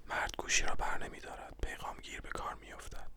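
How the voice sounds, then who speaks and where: A person reading a stage play and its directions aloud in a breathy, unvoiced whisper. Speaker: narrator reading all parts.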